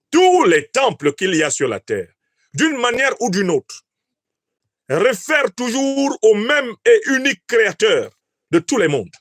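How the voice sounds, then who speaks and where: A man speaks in a fairly high voice, declaiming his analysis like a speech. He leans on dramatic pauses and builds long runs of rhetorical questions. The recording is dry, with no room sound. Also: dry recording. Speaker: political commentator.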